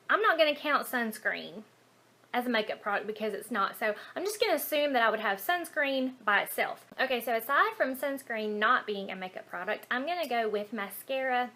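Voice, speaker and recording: Female beauty vlogger, Southern US accent, bright and high-ish voice, casual rambling Q&A delivery. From Alabama, United States. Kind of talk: casual chatty Q&A to camera.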